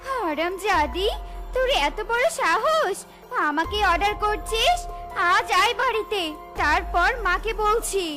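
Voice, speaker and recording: Spoiled bully of a daughter: demanding, haughty, very high-pitched cartoon delivery. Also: female voice, animated, unhurried. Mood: haughty anger. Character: spoiled, bullying young woman desperate to get married. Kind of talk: exaggerated cartoon voice acting. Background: playful jingly children's music.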